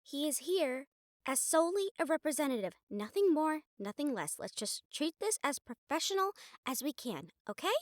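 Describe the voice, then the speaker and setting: A woman plays a wry young bride-to-be about to help lead a vampire clan. Her voice is high and sits close and dry, with no room around it. She talks straight to the listener, conversational, teasing and emphatic. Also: very animated, moderate pace, dry recording. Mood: firm, pleading. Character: wry, determined young bride-to-be joining a vampire clan's leadership. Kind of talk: audio roleplay drama acting, addressing the listener.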